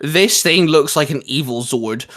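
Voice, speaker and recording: A man's voice: fairly high pitch, measured pace, a bit bright. Enthusiastic man in casual fan chat. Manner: enthusiastic, rambling, occasionally swearing. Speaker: co-host.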